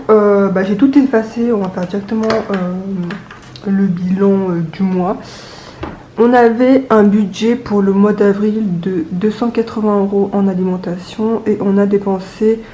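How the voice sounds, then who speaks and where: A young adult woman with a cold and a stuffy nose, chatting casually to camera, with many hesitant pauses. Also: medium pitch, warm tone, reverberant, a little hiss or hum. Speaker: budgeting vlogger.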